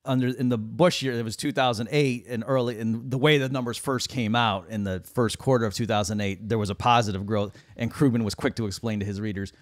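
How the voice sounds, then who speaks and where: A middle-aged man talks economics over a video call, close to a studio mic in a small room with a dry sound. His voice sits at an ordinary pitch for a man, but he gets animated and mocks the people he quotes by pushing his voice up. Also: brisk, hardly any pauses, no room sound. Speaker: economist guest. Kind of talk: casual discussion on economics. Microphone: studio mic on a boom arm, video call.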